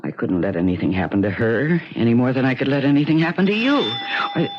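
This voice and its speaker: A woman's voice: extremely low pitch, lively intonation, fairly quick, background noise. An actress playing an anxious, polite landlady in a 1970s radio mystery, stammering and hesitant as she talks. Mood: worried. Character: anxious, flustered, polite landlady of a rooming house. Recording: dull vintage 1970s radio broadcast.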